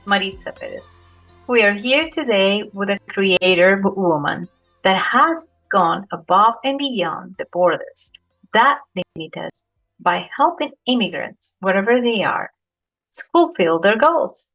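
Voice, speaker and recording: A woman hosts her podcast, introducing her guest in measured phrases and then moving into questions. Her voice sits at a middle pitch, heard through a dull, lo-fi recording. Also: lively intonation, a bit bright, soft background music. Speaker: podcast host. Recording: dull lo-fi audio.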